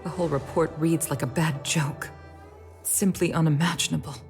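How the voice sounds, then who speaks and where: A woman playing a dignified, grieving middle-aged leader in a video game, speaking in a formal, measured and solemn way. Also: low voice, steady tone. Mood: stunned, grieving. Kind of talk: scripted video game voice acting. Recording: game music under the voice. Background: game music.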